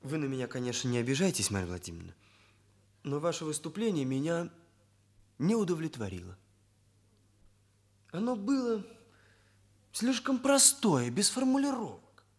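A man's voice: fairly high pitch, very animated, normal speed, pauses often, a little mellow. An actor playing a self-serious young male hairdresser in a Soviet radio drama, with formal, bookish phrasing. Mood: stiffly critical. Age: young adult.

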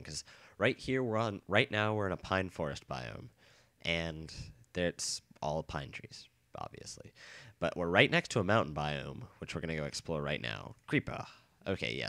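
A man's voice: low-pitched, no echo, clean studio sound. Stuffy-nosed young man with a cold. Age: young adult. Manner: rambling, easily excited, chatty. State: has a bad cold. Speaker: let's play gamer. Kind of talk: casual gameplay commentary. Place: dorm room.

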